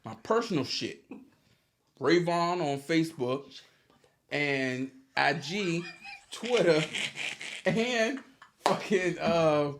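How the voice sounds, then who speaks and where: A man's voice: a little high, slow pace, long pauses, fairly bright tone, a little hiss or hum. A man hosting a casual podcast, talking loosely with frequent swearing and laughter. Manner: loose, profane, laughs often. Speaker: podcast host. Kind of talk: casual chat.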